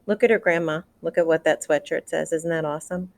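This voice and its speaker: A woman reading a picture book aloud to children, expressive, with asides and questions to her listeners. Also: sits low, a little fast. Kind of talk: read-aloud storytelling with commentary for children.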